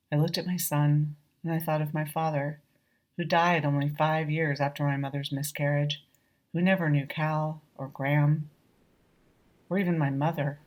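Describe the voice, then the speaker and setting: A woman reading her own short story as measured, reflective literary narration, lightly acting out the dialogue. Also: low voice.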